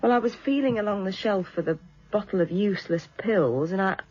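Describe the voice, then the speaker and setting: A woman's voice: medium pitch, brisk, darkish tone, natural voice. An actress playing a weary, defensive British woman being questioned as a witness in a radio drama, hesitant and wryly tart. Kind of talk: radio drama acting, naturalistic dialogue. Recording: slightly dull, muffled radio recording.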